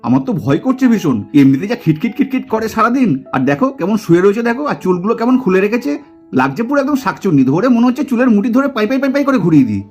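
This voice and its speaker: A man's voice: high voice, sing-song, very fast, darkish tone, faint music behind. An actor, a man, voicing a teasing, grumbling, boastful young uncle in a puppet cartoon with sarcastic delivery. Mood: grumbling, nervous. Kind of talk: exaggerated Bengali puppet cartoon acting.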